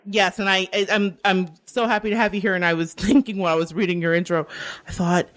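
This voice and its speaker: A woman's voice: mid-range voice, fairly even intonation, fast talker, fairly bright tone. Female radio host from the Bronx; chatty, laughs often; studio sound. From the Bronx, New York. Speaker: radio talk show host. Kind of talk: radio interview.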